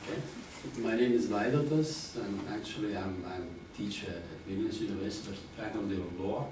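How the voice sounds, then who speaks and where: Very echoey, halting Lithuanian-accented man, low voice. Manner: halting, searching for words. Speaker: university law teacher. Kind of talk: informal seminar self-introduction. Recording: very echoey room, distant mic, noise.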